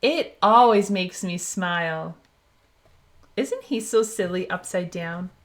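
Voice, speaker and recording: A woman's voice: fairly low pitch, slow pace, pitched below the performer's own voice. A woman reading aloud as a cheerful young girl explorer in a children's storybook, asking listeners questions and praising them. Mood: cheerful, encouraging. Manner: asks the listener questions, then praises the answer.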